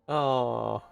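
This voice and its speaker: Creaky-voiced, very unhurried gameplay streamer. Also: mid-range voice. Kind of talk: casual gameplay reaction commentary. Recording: faint game music behind.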